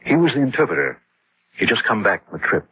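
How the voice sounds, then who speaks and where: Husky engineer recounting a horror tale. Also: male voice, fairly low pitch, very animated, quick pace, exaggerated voice. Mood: grim recounting. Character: engineer husband George, weary narrator of a horror tale. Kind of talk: radio drama narration and acting. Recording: dull lo-fi radio drama recording.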